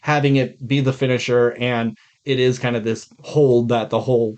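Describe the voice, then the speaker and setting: A man talks as a podcast co-host in a mid-pitched voice. His delivery is casual and informal, like chatting about films with a friend, with some swearing thrown in. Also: steady tone, moderate pace, a little mellow, clean tone. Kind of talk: casual chat about movies.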